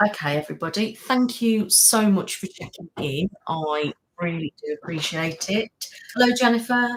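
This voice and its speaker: Chatty soft-spoken woman greeting viewers. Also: fairly low pitch, animated, moderate pace, rough recording. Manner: soft-spoken with filler words. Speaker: ASMR channel host. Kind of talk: casual live-stream chat.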